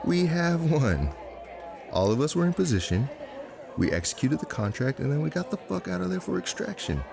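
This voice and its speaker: A man's voice: a little high, big pitch swings, moderate pace, vocal fry, crowd noise. A man playing a cocky, defiant operative in a naturalistic drama, brash and dismissive. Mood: insistent. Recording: music under dialogue.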